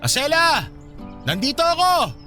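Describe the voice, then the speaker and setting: A remorseful Filipino husband and father acted by a man in a radio drama. He is earnest and pleading, with a highly animated voice. Faint music plays behind him. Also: measured pace, full character voice. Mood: anxious.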